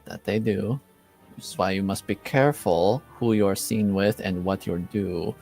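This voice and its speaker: A man as a stern, slow-speaking Duke. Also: deep voice, vocal fry, darkish tone, soft background music. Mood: measured, guarded. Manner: measured, formal, admonishing. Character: stern, discreet Duke scolding his younger relative.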